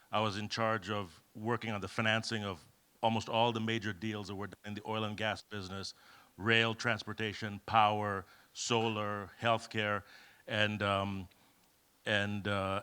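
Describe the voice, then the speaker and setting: Kenyan-born man, middle-aged, conference speaker, bright forceful tone, joking anecdotes, lots of ums. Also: lowish voice, clean tone. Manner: humorous, self-deprecating storytelling with many fillers. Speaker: keynote speaker. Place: event hall.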